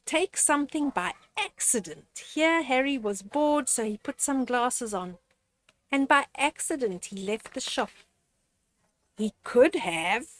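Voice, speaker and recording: An older woman reads a children's story aloud with a high, swooping voice. She takes it very slowly, pausing to speak straight to young listeners. Her manner is gently instructive, as in a picture-book lesson. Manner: gentle didactic, addressing young children directly. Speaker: storyteller reading children's books. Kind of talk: read-aloud picture book with moral commentary.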